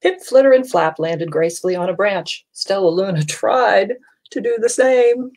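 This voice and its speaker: A woman's voice: mid-range voice, animated, normal speed, darkish tone. A middle-aged woman reading a children's storybook aloud expressively in a room at home. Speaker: storybook reader. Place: small furnished room at home.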